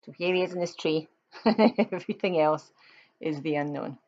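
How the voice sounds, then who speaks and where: A woman's voice: low voice, normal speed, a little mellow, muffled recording. A woman reading a children's picture book aloud, expressively, with chatty explanatory asides to young listeners.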